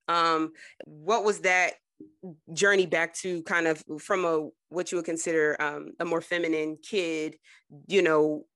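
Slow, bright-voiced male podcast host. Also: a little high, smooth, no echo. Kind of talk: interview questions, casual.

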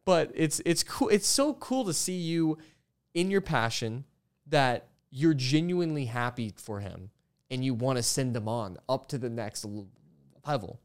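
A man's voice: medium pitch, lively intonation, unhurried, clear, resonant tone. A male podcast host with a mid-range voice chats casually with his guest. He sounds enthusiastic and often stammers, repeating syllables before getting the word out. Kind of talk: casual conversational interview.

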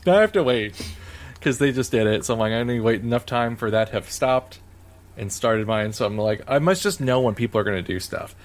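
A man from Missouri co-hosting a podcast in casual chat, talking in a fairly flat, monotone way with lots of "like". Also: mid-range voice. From Missouri, USA. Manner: monotone, heavy use of "like". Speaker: podcast co-host.

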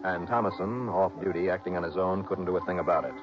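An American man acting a brisk, hard-boiled insurance investigator in a 1960s radio drama, with clipped, insistent delivery. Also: low-pitched, close to an everyday voice. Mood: dry, purposeful narration. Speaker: lead actor and narrator of a radio detective serial. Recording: dull old radio broadcast with music.

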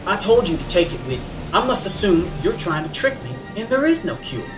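A man playing a gruff, distrustful navy captain in an audio drama, with music behind him. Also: high-pitched, very animated, a little fast. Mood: angry, suspicious.

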